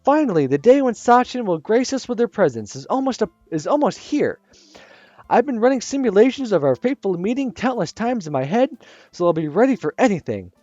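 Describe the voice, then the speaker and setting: A man voicing an excitable, dramatic teenage girl from a visual novel, whining and gushing. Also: high-pitched, brisk, dry recording, pitched above the performer's own voice. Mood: whiny gushing. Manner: loud, whiny, gushing. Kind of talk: reading a visual novel aloud. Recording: slightly muffled with soft music.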